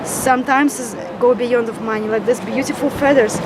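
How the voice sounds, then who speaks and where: A woman's voice: on the high side, fairly even intonation, normal speed. Russian-accented woman, effusive, noisy outdoors. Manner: effusive, searching for words. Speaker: vendor at a festival. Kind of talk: casual on-camera introduction.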